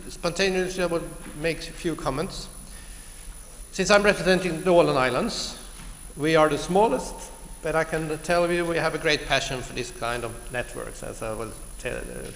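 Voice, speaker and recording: A Swedish-accented man offers spontaneous remarks at a meeting, his slightly raised voice carrying through a roomy hall with a long echo. He speaks deliberately, building his points step by step, over faint background noise. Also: fairly high pitch, steady tone, a bit bright. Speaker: regional delegate. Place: conference hall. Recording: echoey hall with long reverb.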